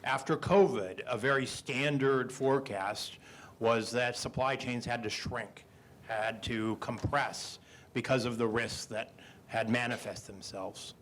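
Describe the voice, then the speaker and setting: Booming man explaining economics on a panel. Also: a little slow, fairly bright tone, slight background noise. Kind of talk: panel discussion answer. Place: indoor event stage. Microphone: handheld microphone.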